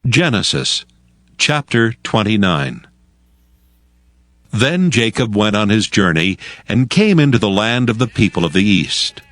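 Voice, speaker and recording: A man's voice: lowish voice, very animated, measured pace, long pauses, fairly bright tone, no room sound, clean studio sound. A man narrating scripture formally as a storyteller from a script. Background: music.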